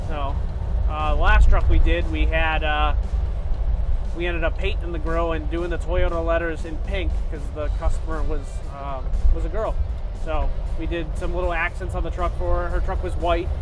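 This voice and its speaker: A man in his thirties talks in a casual, plain-spoken vlog style about his work as a restorer. His voice sits on the high side for a man. Music plays behind him as he explains things in an easygoing way. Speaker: car restorer presenting his build. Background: music, vehicle sound.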